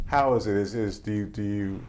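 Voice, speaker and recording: A man hosting an interview program, who asks questions haltingly with many restarts. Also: fairly low pitch, a little mellow, slight background noise. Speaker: host.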